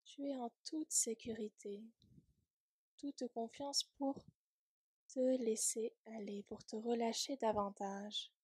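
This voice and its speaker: A woman leading a guided yoga nidra relaxation in a soft, breathy, soothing voice, gently trailing off at times toward a whisper. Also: on the high side, fairly even intonation, slow, dry recording.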